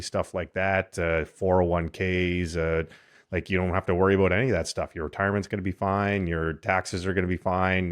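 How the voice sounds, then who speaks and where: Male co-host, very low voice, rambling explainer. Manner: rambling, explanatory, many fillers. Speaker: podcast co-host. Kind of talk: casual discussion.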